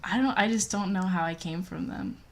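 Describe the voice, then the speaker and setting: A young woman co-hosting a podcast, chatting casually with blunt, sweary deadpan remarks. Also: on the low side, fairly quick. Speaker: podcast co-host. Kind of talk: casual chat.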